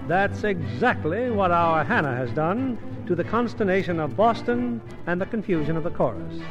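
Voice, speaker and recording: A man's voice: sits high, very animated, moderate pace. A man hosting a 1950s radio theatre, reading scripted story narration in a polished, wry manner. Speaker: host and narrator. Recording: old radio broadcast over music.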